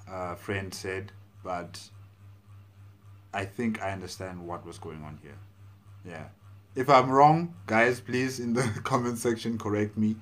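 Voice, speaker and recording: A man in his thirties giving casual reaction commentary in a halting, thinking-aloud way, recorded in a room on a clip-on mic. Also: lowish voice, a bit bright. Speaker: reaction video host. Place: indoor room at home.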